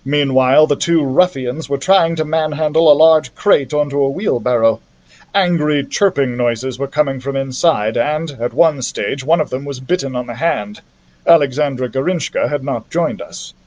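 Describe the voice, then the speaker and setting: A man reading a children's adventure novel aloud as a library storyteller, in an expressive narrative style. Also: medium pitch, moderate pace.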